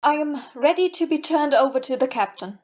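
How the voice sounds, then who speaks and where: Woman playing a nervous guilty lady, high voice, polite and hesitant, audio play, dull sound. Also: expressive, fairly quick, darkish tone. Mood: confessing. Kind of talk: amateur audio play acting.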